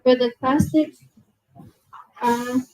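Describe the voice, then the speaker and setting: A young woman giving a nervous museum presentation to an audience, reading from notes with many fillers and apologies, her soft low voice held away from a handheld mic indoors. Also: fairly even intonation, slow, low-quality recording. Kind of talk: reading prepared talk to a live audience. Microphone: handheld mic held at a distance.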